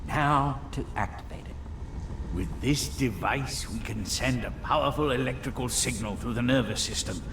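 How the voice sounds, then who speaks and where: A man voices a proud, aging inventor in a game. He declaims formally and exactly at a middle pitch, in an echoey room with music behind. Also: moderate pace, far from the mic, lightly acted. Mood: proud, instructive. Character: proud, formal older male inventor-scientist. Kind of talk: dramatic video game acting.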